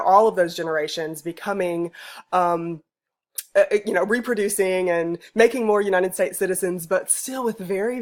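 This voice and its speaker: A woman giving an informal narrated presentation as a researcher, explaining things in a halting way with pauses mid-phrase. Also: fairly low pitch. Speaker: student researcher presenting an ethnography project.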